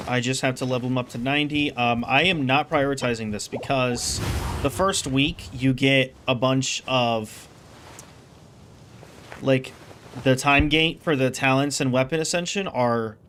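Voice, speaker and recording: A man's voice: medium pitch, a bit bright, slight background noise. A man giving casual, rambling game commentary, thinking aloud as he streams.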